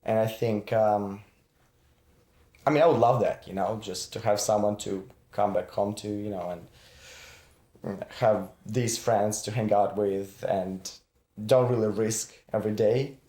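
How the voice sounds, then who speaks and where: A young man in his twenties with a lower-pitched voice speaks thoughtfully, pausing often with ums. His voice carries a roomy echo. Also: steady tone, mellow. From Russia. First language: Russian. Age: 20s. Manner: reflective, hesitant, many ums. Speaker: actor guest. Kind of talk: casual group chat.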